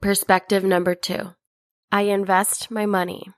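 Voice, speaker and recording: A woman host reading scripted narration in a clear, measured announcing manner. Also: medium pitch, flat delivery, dry recording, studio quality.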